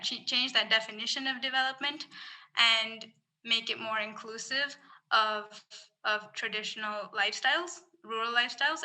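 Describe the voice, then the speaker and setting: Young woman from singapore, really bright voice, kinda flat melody, earnest and thoughtful. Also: fairly high pitch. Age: young adult. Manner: earnest, thoughtful, many restarts. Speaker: guest, climate researcher. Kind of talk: casual podcast interview.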